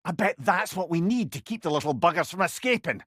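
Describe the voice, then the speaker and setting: A witty, fond old Scottish companion from a video game. His voice sits a little high and swings widely in pitch as he talks with wry, wordy eloquence. The sound is dry, free of any room. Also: animated, brisk, a bit bright, dry recording. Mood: wry, conversational. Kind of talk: video game character dialogue.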